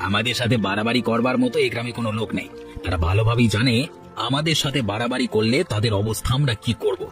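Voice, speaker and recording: A man's voice: medium pitch, fairly even intonation, racing, music in the background, natural voice. A man voicing a spiteful, arrogant village bully in a cartoon, taunting and boastful. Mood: boastful, malicious. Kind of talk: Bengali cartoon story dialogue.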